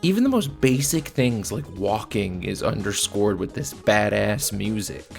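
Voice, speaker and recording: A man with a low voice that often drops into fry. He delivers a blunt, casual scripted review, with faint music underneath. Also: expressive, measured pace. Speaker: video reviewer. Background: faint background music.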